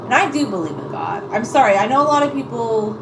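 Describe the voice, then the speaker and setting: A woman's voice: fairly high pitch, lively intonation, fast, creaky. A woman chatting casually to viewers in a rambling, hesitant, apologetic way. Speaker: live-streamer chatting with viewers. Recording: echoey room, distant mic, loud background noise.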